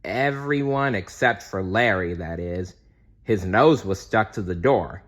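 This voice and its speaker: A man reading a children's storybook aloud as scripted narration, dramatic and expressive. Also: lowish voice, unhurried. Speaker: storybook reader.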